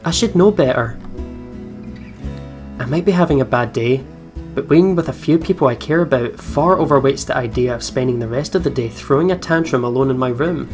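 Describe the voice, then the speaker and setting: A let's player reading out visual novel narration and adding casual commentary. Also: mid-range voice. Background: soft guitar game music.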